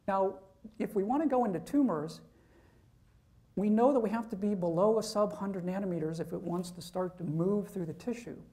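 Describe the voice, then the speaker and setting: A man's voice: high voice, normal speed, dark tone. A man, a professor, giving an informal university lecture, talking conversationally and checking in with "okay?".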